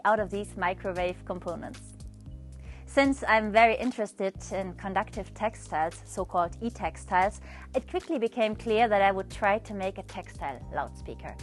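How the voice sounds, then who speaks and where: A woman, giving a scripted presentation as an artist. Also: mid-range voice. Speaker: textile artist presenting own project. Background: music.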